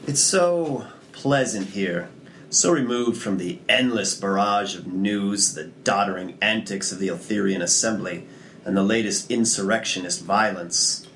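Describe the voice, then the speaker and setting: A man's voice: fairly low pitch, measured pace, a bit bright, slight background noise. An actor playing an arrogant, lustful imperial nobleman with a pompous, condescending manner at a script table read. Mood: languid, scornful. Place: small indoor room at a table.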